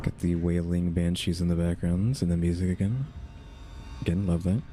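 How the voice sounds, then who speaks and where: A man giving casual, low-key gameplay commentary as a streamer, thinking aloud as he plays. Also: fairly even intonation, moderate pace, background noise. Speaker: gaming streamer.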